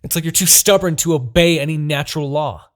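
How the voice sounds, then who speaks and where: A man's voice: fairly high pitch, brisk, fairly bright tone, lightly acted. A man playing an imperious, obsessive demon king who is easily exasperated, in a theatrical, brooding fantasy audio roleplay. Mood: bewildered, outraged. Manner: theatrical, brooding, swinging between murmurs and outbursts.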